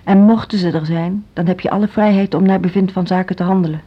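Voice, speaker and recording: Radio play: actress as ailing older woman director, low and husky, wistful, resigned, quietly wary. Muffled tape. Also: fairly even intonation, fast talker, close to an everyday voice. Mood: resigned, probing. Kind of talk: Dutch radio drama dialogue. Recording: dull, lo-fi radio play recording.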